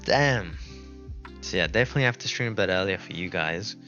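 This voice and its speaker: A South African man whose first language is Afrikaans, with a lowish but bright, forward voice, rambles and thinks out loud in a relaxed, unscripted way. The audio is a little dull and lo-fi, with faint music running under the speech. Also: a little fast. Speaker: game streamer. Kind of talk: casual live-stream chat. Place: indoors at a laptop. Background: music playing.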